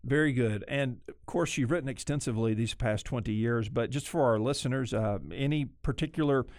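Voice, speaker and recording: A man hosting a podcast interview in a measured, formal way. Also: mid-range voice, darkish tone, no echo, clean studio sound. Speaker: podcast host.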